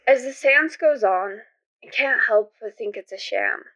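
A woman's voice: on the high side, measured pace, sharp tone, poor audio. A woman giving a scripted first-person narration of a story.

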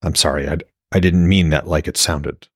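A man voicing a brooding, long-lived warrior god in a fantasy audiobook, halting, unsure and low-key. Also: fairly even intonation, no echo, studio quality. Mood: hurt.

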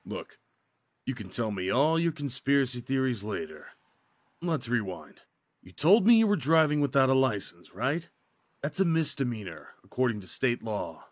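An actor playing a skeptical, by-the-book highway patrol officer in an audio drama, official and matter-of-fact. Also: male voice, sing-song, moderate pace, dry recording.

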